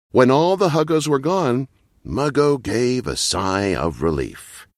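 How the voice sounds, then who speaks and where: Slow, highly animated male storyteller. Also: no echo, clean studio sound. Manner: expressive, deliberate read-aloud delivery. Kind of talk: children's bedtime story narration.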